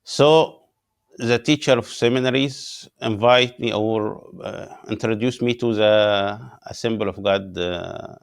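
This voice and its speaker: A pastor from Upper Egypt talks in English with an Egyptian accent. His voice sits low and gravelly. He pauses often with "uh" as he hunts for words in a language that is not his first. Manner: halting non-native English with many "uh" pauses. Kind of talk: interview guest telling his story.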